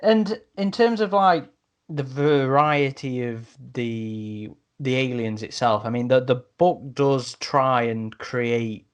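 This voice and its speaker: A man's voice: mid-range voice, clear, resonant tone. A man hosting a podcast discussion in a casual way, repeating words as he works through a thought. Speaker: podcast host.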